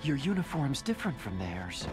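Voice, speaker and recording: A man's voice: mid-range voice, big pitch swings, normal speed, lightly acted. An actor playing a nervous, naive, guilt-ridden American scientist in a dramatic video game cutscene, earnest and anxious as he explains. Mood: timid, wary. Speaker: chief engineer character in a video game. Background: music.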